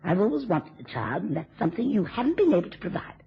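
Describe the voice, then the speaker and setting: An actress in a radio drama playing a drunken, taunting, spiteful wife with a theatrical, mocking drawl. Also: female voice, lowish voice, very animated, fast talker, husky, full character voice. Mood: scornful, vicious. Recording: dull, lo-fi old radio recording.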